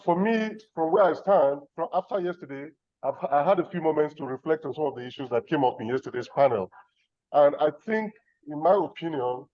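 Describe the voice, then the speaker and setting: A middle-aged man from Nigeria giving measured, reflective remarks as a discussant on an academic conference panel. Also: fairly high pitch, dry recording, muffled recording. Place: conference room.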